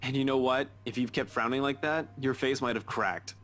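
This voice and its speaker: A man's voice: medium pitch, normal speed, a bit bright, close to an everyday voice. An actor playing a teasing, slightly awkward young man in a visual novel game, with casual, playful delivery. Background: music.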